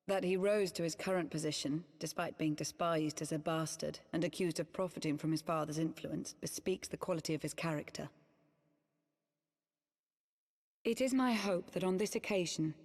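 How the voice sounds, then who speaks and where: A woman playing a resolute, loyal lady knight in a fantasy game, speaking formal archaic lines in a measured, earnest, eloquent way. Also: on the low side, a little mellow, natural voice. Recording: long hall reverb.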